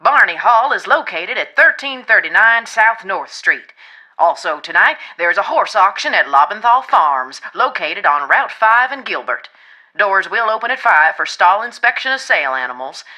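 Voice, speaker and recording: A woman playing a brisk American local radio announcer in a game, reading farm news in a folksy, clearly enunciated way. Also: medium pitch, sing-song, bright, forceful tone. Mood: businesslike.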